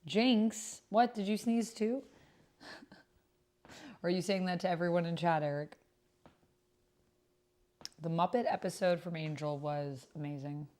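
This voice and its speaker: A woman streamer chatting casually and reading typed roleplay aloud, sometimes halting as she types along. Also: on the low side, darkish tone. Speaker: streamer playing an online roleplaying game.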